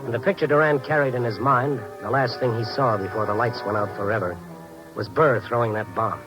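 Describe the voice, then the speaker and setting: Man acting as brisk hardboiled American radio reporter. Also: medium pitch, lightly acted, low-quality recording. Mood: wry, tense narration. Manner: wry hardboiled storytelling. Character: male night-beat newspaper reporter, wry, dogged, lean, loyal friend. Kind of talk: 1950s radio drama acting and hardboiled narration. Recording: old 1950s radio recording, music behind.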